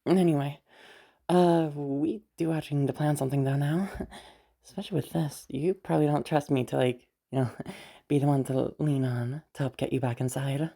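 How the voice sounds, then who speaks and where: A woman playing a teasing, self-assured, sardonic yet protective young woman in a listener roleplay, rambling and halting as she talks. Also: deep voice, fairly even intonation, creaky, warm tone. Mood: playful, amused. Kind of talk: roleplay audio drama addressed to the listener.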